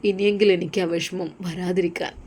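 A woman voicing a gentle, caring husband who speaks softly and tenderly to his wife. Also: on the low side, flat delivery, brisk, a little hiss or hum, lightly acted. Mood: soothing. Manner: soft, tender, reassuring.

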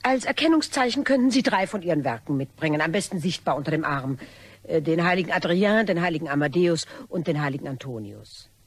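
A woman playing a polite, desperate blackmailer in a German crime radio play, coy and hesitant, with a slightly husky voice. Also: sits low, expressive, brisk. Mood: apologetic, nervous. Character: polite, desperate female blackmailer, probing and apologetic.

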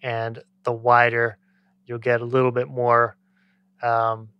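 A man's voice: mid-range voice, completely flat delivery, slow pace, smooth, dry recording, dull audio. Man giving tutorial, dry close mic. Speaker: music production tutor. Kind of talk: casual software tutorial. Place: small indoor home studio. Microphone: close studio microphone on boom arm.

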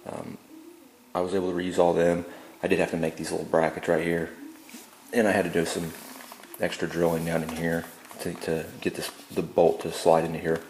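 A very deep-voiced man talks in a low, even monotone as he walks through his handiwork. He speaks plainly and fills his pauses with ums and uhs. The sound bounces around an echoey garage, with a faint hum of room noise behind him. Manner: relaxed and plain-spoken, many ums and uhs. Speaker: DIY builder showing his work. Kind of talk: casual how-to walkthrough. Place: indoor garage.

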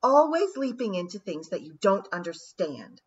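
A woman playing a cold, scheming fairy queen who talks slowly and mockingly. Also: medium pitch, sing-song, breathy edge, pitched above the performer's own voice. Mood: menacing. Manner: slow, hissing, mocking. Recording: dull lo-fi recording.

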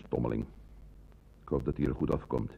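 Gravelly, sardonic old-school crook. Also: male voice, very deep voice, steady tone, fairly quick, highs cut off, full character voice. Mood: wry, needling. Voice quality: gravelly, husky. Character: sardonic, easygoing male small-time crook, ex-convict. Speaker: radio drama actor. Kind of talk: Dutch radio play acting.

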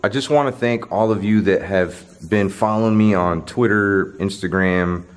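A man in his thirties hosting a vlog, chatting to the camera and reading off viewer names while exploring a dark abandoned stadium at night. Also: lowish voice, moderate pace, slight background noise. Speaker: YouTube host. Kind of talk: casual vlog narration. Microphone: handheld camera held close.

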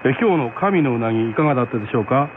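A man's voice: medium pitch, expressive, racing. A man hosting a radio programme, politely explaining things to his listeners. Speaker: radio host. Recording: tinny radio broadcast, faint noise.

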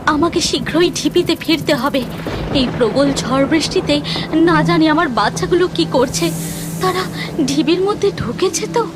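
A woman's voice: high voice, moderate pace, over music, lightly acted. A woman voicing an anxious, fierce shape-shifting mother snake in a melodramatic cartoon fairy tale. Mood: worried. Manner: melodramatic, exclamatory, pleading.

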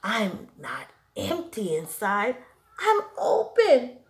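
A woman voicing a wistful, eager female number zero from a children's storybook, in an earnest, expressive way. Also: a little high, slow, exaggerated voice. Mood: delighted.

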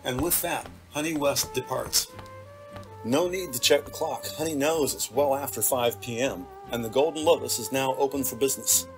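A man's voice: medium pitch, normal speed. A man reading scripted narration for a detective audio drama. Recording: music under the voice. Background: dramatic score music.

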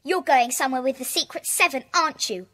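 A woman's voice: high voice, quick pace, bright, forceful tone. A woman playing a nosy, needling little sister in a children's audio drama. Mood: pestering. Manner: insistent, needling.

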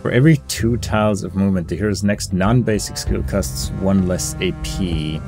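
A man's voice: deep voice, moderate pace, a little mellow. A man giving casual game commentary, musing and weighing his options aloud in a hesitant way. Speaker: gaming video creator. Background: game music.

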